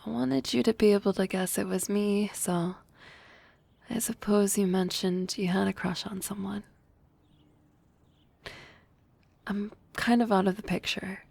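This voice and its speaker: A woman voicing a young harpy classmate in love in an ASMR roleplay, soft and often whispering, hesitant and teasing. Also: mid-range voice, steady tone, normal speed, vocal fry, slightly rough, dry recording. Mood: coy, nervous, then wistful, vulnerable.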